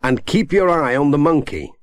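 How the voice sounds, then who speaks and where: A man's voice: fairly high pitch, very animated, moderate pace, no room sound, voice pushed up. A man voicing a friendly, helpful village postman in an emphatic way for a children's audiobook. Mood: urgent. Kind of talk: children's audiobook character acting.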